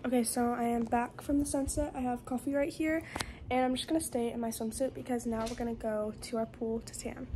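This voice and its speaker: High-voiced young American woman vlogging. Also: steady tone, a little fast, reverberant, background noise. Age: teens to young adult. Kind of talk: casual vlog chat to camera. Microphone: phone at arm's length.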